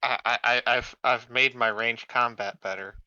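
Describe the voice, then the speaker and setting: A man's voice: mid-range voice, steady tone, moderate pace, bright, forceful tone, no echo, highs cut off. A man chatting casually as a player in a tabletop roleplaying game. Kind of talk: casual chat.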